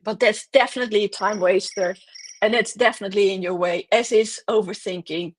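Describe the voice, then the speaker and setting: A woman's voice: mid-range voice, steady tone, fairly quick, poor audio. A woman giving direct, emphatic business advice in a solo talk, correcting herself now and then and losing her voice. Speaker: host, business coach.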